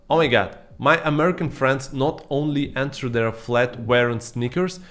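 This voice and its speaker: A man in his thirties, not a native English speaker, talking to camera in a scripted vlog with playful asides, recorded on a boom-arm studio mic in a dim room at home. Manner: lively, playful asides to viewers. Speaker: vlogger.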